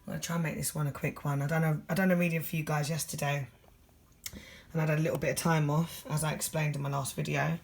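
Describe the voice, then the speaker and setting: A tired tarot reader with a husky voice and a London accent, talking fast and bluntly through a general tarot reading. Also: medium pitch, level tone.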